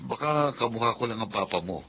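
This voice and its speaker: A man's voice: mid-range voice, lively intonation, fairly quick, close to an everyday voice. Man playing an evasive Filipino father, noisy background. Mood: flustered, apologetic. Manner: hesitant, apologetic. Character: evasive, embarrassed married father denying his daughter. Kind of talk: Filipino radio drama acting.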